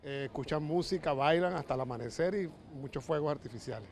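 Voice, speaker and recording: A man's voice: fairly high pitch, fast, a little mellow. A man with a Venezuelan accent giving casual answers in a street interview. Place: outdoors on a main street. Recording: street interview with faint outdoor noise.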